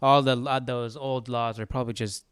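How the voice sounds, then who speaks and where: A man gives casual, conversational commentary as a Bible study host. His mid-pitched voice is very clear and smooth, recorded dry and close. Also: fairly even intonation, normal speed, fairly bright tone, no room sound. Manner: conversational, colloquial.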